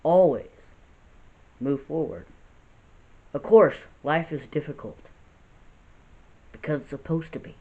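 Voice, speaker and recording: A gravelly-voiced man in his late twenties speaks earnestly, without a script, and keeps restarting his thoughts. His voice sits a little high for a man. The audio is thin and phone-like, as if recorded at home on a cheap device. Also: takes it slowly, pauses often. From United States. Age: 20s. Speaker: vlogger. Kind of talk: unscripted serious vlog. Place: house with poor lighting.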